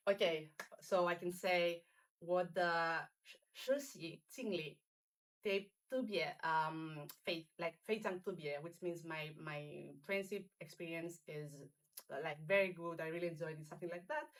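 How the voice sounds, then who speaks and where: A woman whose first language is Greek, chatting at a roundtable with a slightly low voice. She talks in a halting way, with fillers and laughs between phrases. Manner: hesitant, halting, laughs often. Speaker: trainee. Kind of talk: casual roundtable chat.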